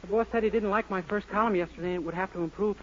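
A man's voice: sits high, racing, a bit bright. An actor playing a naive, earnest young man with an innocent, guileless manner in a 1940s radio sitcom. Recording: muffled, noisy old radio recording.

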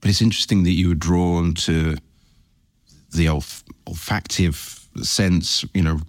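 Low-voiced male interview host. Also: fairly even intonation, a little slow, no room sound, clean studio sound. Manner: friendly, curious, stammering questions. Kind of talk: conversational interview.

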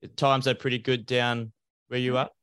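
A man who hosts a farming podcast, asking questions in a relaxed conversational way. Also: mid-range voice, moderate pace, clean tone, no room sound. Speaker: podcast host and farmer. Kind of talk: casual interview hosting.

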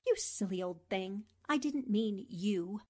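A woman's voice: mid-range voice, lively intonation, unhurried, heavy background noise, close to an everyday voice. A woman playing a plucky, wisecracking young newspaperwoman sleuth in a 1940s-style radio drama, with a flippant, arch way of talking. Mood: muttering, wry. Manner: talks to herself, flippant, arch.

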